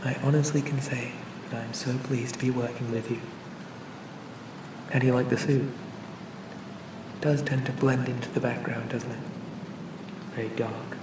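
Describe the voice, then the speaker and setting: A man playing a charming, pleasant AI assistant for a police officer in a soothing, gently reassuring ASMR roleplay. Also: medium pitch, level tone, unhurried, long pauses, echoey room, far from the mic, noisy background, close to an everyday voice. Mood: pleasant, encouraging. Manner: gentle, hesitant, reassuring, conversational.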